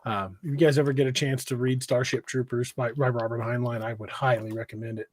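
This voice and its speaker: An American man co-hosting a casual livestream, talking in a measured, somewhat hesitant way. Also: mid-range voice, flat delivery. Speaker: podcast co-host. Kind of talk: casual livestream chat, reading viewer comments.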